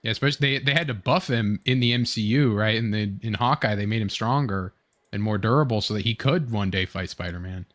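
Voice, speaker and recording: A man's voice: lowish voice, fairly bright tone. Rambling young man hosting a fan chat. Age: young adult. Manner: rambling, halting, fragmented phrasing. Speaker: online show host. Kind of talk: casual fan commentary, reading chat comments.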